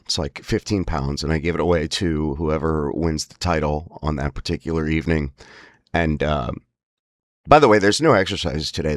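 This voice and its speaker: A man hosts with a casual, rambling monologue in a deep voice. His speech is strained and broken by spasms, yet wry and emphatic. The sound is close and dry, free of room echo. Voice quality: spasmodic, strained breaks from spasmodic dysphonia. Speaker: host of a lifestyle series about a voice disorder.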